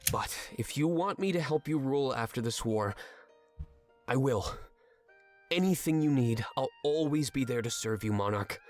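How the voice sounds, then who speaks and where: A man's voice: mid-range voice, animated, normal speed, darkish tone, soft background music, lightly acted. A man playing a reformed swindler in a fantasy drama, earnest, apologetic, remorseful and devoted to his monarch. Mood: resigned, humble. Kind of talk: fantasy audio drama acting.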